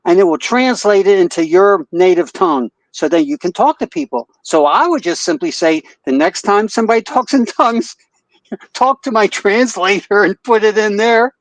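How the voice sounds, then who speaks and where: A man calling into a live stream who tells a joking story and chuckles as he goes. His voice is high, and the sound is dry and close. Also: animated, normal speed, no room sound. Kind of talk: joking call-in remarks.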